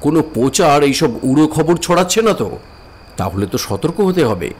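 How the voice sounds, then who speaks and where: A man voicing a calm, reasoning older brother who is a newly posted forest ranger. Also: on the high side, a little fast, breathy edge. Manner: calm, reasoning, lightly teasing. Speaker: narrating his own thoughts while riding. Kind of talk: Bengali cartoon voice acting.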